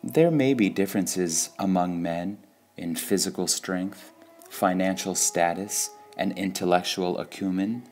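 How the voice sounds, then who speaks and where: A man reading devotional sayings from a script in a measured, deliberate way, pausing between short phrases. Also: deep voice, a little mellow. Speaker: reader of spiritual quotations.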